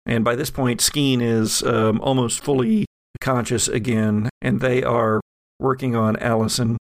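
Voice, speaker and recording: A man runs a tabletop horror game in a creaky, somewhat low voice. He improvises scenes vividly, feeling his way through them haltingly. The sound is close and dry. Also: fairly even intonation, dry recording. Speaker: game master and podcast host.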